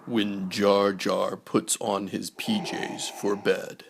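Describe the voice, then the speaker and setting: A man's voice: fairly low pitch, little pitch movement, slow pace, vocal fry. A man reading a children's bedtime story as a scripted narrator, on a noisy recording.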